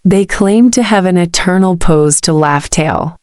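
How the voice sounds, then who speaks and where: Woman playing a low, menacing lady pirate. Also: moderate pace, a little mellow, dry recording, clean studio sound, lightly acted. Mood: scheming. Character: menacing, scheming female pirate of a rival crew. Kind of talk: anime audio drama acting.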